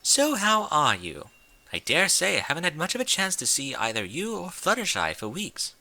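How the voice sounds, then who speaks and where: Prim, motherly lady pony, played by a man; pitched up, bright, refined and fussy storybook voice. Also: huge pitch swings, moderate pace, full character voice. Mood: chatty, chiding. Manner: genteel, theatrical, chiding diction. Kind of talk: audiobook character voice.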